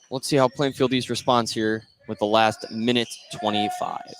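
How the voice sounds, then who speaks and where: Male basketball play-by-play man, noisy background. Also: mid-range voice. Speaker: play-by-play commentator. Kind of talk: live high school basketball radio commentary. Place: courtside at an indoor basketball game.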